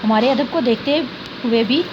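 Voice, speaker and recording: Woman teacher, fairly high voice, reads a text line by line and explains hard words, dull lo-fi recording with steady noise behind. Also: normal speed. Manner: reads a sentence, then glosses hard words with "yani". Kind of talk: reading a text aloud and explaining words for students.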